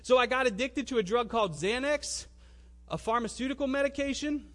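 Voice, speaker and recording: A young man in his twenties with a high-pitched voice tells a personal testimony. He speaks conversationally, in casual everyday wording, and acts out remembered exchanges as part of the story. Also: brisk, a bit bright. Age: 20s. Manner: conversational and colloquial, acting out remembered dialogue.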